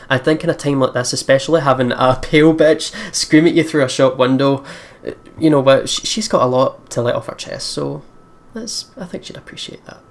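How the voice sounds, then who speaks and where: Chatty man doing a playful let's play. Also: mid-range voice. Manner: chatty, playful asides addressed to viewers. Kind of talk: reading visual novel aloud with casual commentary.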